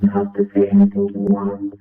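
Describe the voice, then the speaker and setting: A woman's voice: mid-range voice, sing-song, brisk, creaky, soft background music. A woman speaking a short demo phrase through a voice changer filter. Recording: tinny, echoey, far-off processed audio.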